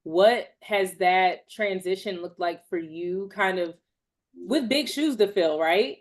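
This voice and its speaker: A woman hosting a panel who asks measured, careful questions, hedging with "kind of" and "right?". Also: mid-range voice. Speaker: host, moderator. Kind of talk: interview questions.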